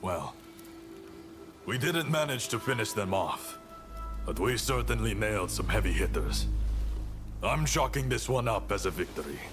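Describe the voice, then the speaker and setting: A man's voice: fairly low pitch, lively intonation, moderate pace, long pauses, music in the background, close to an everyday voice. A man playing a stern, commanding samurai captain in a video game cutscene, terse and resolute. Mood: satisfied. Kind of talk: dramatic game cutscene acting.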